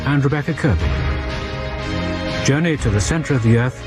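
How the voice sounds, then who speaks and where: A man's voice: medium pitch, expressive, brisk. A man reading formal scripted closing credits as a radio announcer. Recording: loud music under radio announcement.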